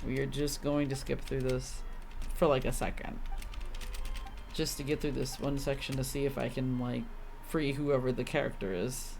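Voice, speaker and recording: Exasperated game streamer over clear background music. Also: medium pitch, level tone, normal speed, a little mellow, a little hiss or hum. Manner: exasperated, grumbling, swears casually. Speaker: livestreamer playing a video game. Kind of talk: casual game stream commentary.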